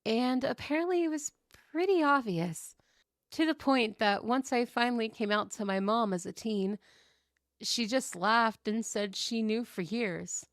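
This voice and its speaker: A woman acting an outgoing, cheerful young woman with a creaky edge to her voice. She chats and tells stories playfully in an audio roleplay, in a very dry recording. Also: fairly high pitch, a little slow, dry recording. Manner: chatty, playful storytelling. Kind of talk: scripted ASMR roleplay acting.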